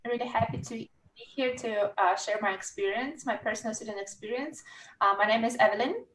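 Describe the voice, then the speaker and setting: A woman's voice: on the high side, fairly quick, a bit bright, a little hiss or hum. A young woman from Uzbekistan, a university staff member, speaking hesitantly with many fillers on a video call. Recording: echoey room heard from far off.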